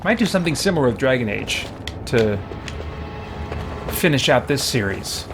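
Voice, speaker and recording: A man with a medium-pitched voice commentates casually on a video game for a live stream. He thinks aloud in a wry, offhand way. Game music plays clearly behind him. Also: sing-song, moderate pace.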